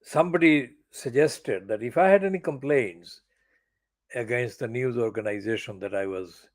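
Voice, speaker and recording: An older man delivering an angry, profane political monologue as a channel editor, stressing words slowly and deliberately. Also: medium pitch. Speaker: channel editor giving commentary.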